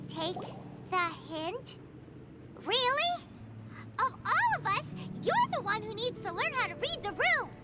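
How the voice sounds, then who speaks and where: A woman voicing a tiny, cheeky, childlike female fairy companion in a video game, with a squeaky, cute delivery. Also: very animated, unhurried, exaggerated voice. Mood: indignant. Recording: loud music behind voice. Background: game music.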